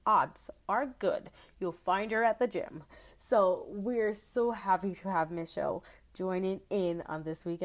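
A woman hosting an interview podcast, speaking to her guest with encouraging interjections. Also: medium pitch. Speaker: podcast host.

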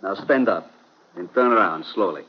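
A coolly menacing gunman in an old radio thriller. His voice is lowish and husky, his words formal and measured. The recording is dull. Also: animated. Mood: cool menace. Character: coolly menacing, polite male gunman and killer. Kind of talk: 1950s radio drama acting.

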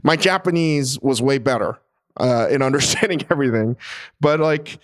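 A man in his forties co-hosting a podcast in casual chat. He rambles, restarts his sentences, and swears freely. His voice sits at an ordinary middle pitch. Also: normal speed. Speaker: podcast co-host. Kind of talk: casual chat about a TV show.

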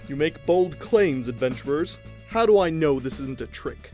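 A man's voice: on the high side, expressive, moderate pace, darkish tone, soft background music, close to an everyday voice. A man playing an imperious, suspicious king in a fantasy audio drama, stern and threatening. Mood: menacing.

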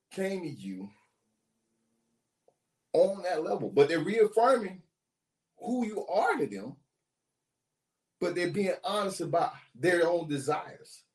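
A man's voice: fairly high pitch, big pitch swings, measured pace, pauses often, echoey room. A man co-hosting a casual relationship talk show, joking with crude banter and asking questions of the listeners. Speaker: podcast co-host.

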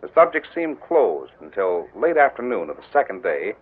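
A man narrating an old radio drama in an even, storytelling manner. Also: fairly low pitch, normal speed, slightly rough, narrow, tinny audio. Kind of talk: scripted narration. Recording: thin old radio broadcast.

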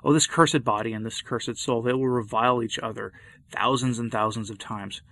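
A man's voice: mid-range voice, steady tone, moderate pace, bright, forceful tone. A man reading a sermon aloud in a solemn, impassioned, old-fashioned declamatory style. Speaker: preacher.

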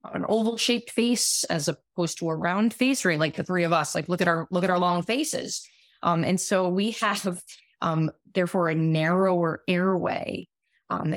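A woman in her late thirties with a raspy voice, explaining things conversationally as an expert guest, often halting and restarting her phrases. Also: on the low side, no echo, studio quality. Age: late 30s. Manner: halting, self-interrupting, many repeated words. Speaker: podcast guest, medical doctor.

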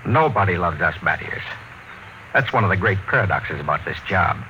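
A man playing a weary, commanding expedition captain in an old-time radio drama, speaking tersely and gravely as he leads and narrates. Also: low-pitched, animated, normal speed, dull audio, a little hiss or hum. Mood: weary, frustrated. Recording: vintage radio recording with music.

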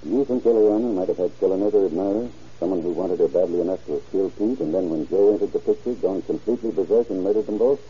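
A man playing a brisk, businesslike small-town sheriff in an old-time radio drama. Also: deep voice, dark tone, slightly rough. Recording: muffled old radio recording with hiss.

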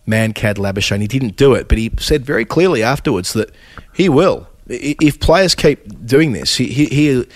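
A man, hoarse and raspy from a cold, hosting a casual cricket chat full of scores and restarts. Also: mid-range voice, expressive, moderate pace, no room sound. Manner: stat-heavy, with frequent fillers and restarts. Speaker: cricket podcast host.

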